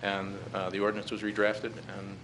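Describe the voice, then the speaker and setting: A middle-aged American man delivers a formal briefing in a measured, halting way. His voice sits low and barely moves in pitch. There is clear noise over the meeting-room recording. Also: monotone. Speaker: presenter to a city council. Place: council chamber, standing at a podium. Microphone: podium gooseneck microphone.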